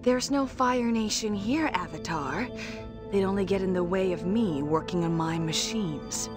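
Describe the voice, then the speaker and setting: An actress playing a cool, proud young villainess in a cartoon game, measured and menacing. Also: female voice, mid-range voice, music in the background, close to an everyday voice. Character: cool, determined young woman villain, proud machine builder.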